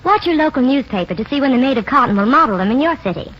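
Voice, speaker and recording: A woman's voice: high voice, lively intonation, rapid-fire, slightly rough. A woman giving a polished, cheery scripted fashion report in a 1940s radio commercial. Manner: polished, cheery promotional delivery. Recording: muffled 1940s radio broadcast, faint noise.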